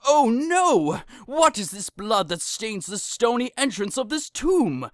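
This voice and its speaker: A woman's voice: on the high side, big pitch swings, takes it slowly, dry recording, studio quality. A woman playing an anxious, kindly old friar in an audio drama, fretful and exclamatory. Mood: alarmed, horrified. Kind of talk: audio drama acting of modernized Shakespeare.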